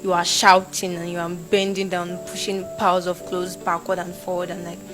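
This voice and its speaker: A young Nigerian woman, a university student, talking casually in an interview and acting out shouted quotes. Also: lowish voice, moderate pace, over music. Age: young adult. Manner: animated, acts out shouted quotes.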